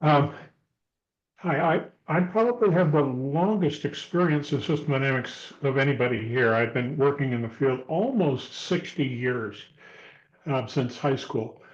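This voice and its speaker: A man's voice: mid-range voice, a little slow. A man in his seventies introducing himself on a video call, rambling and reflective, often correcting himself. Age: 70s. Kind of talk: self-introduction in online meeting. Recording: muffled video call audio.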